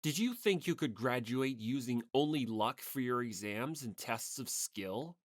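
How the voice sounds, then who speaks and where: A stern, needling schoolmate from a manga audiobook, acted out by a narrator. The voice is pitched down and deliberate, full of doubt, in a dry close recording. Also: animated, dry recording, natural voice.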